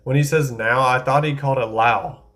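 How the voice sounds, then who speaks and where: A man's voice: mid-range voice, steady tone, normal speed, fairly bright tone. Chatty man ranking anime duels. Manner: chatty, enthusiastic, thinks aloud with self-corrections. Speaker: anime tier-list creator. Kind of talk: casual tier-list commentary.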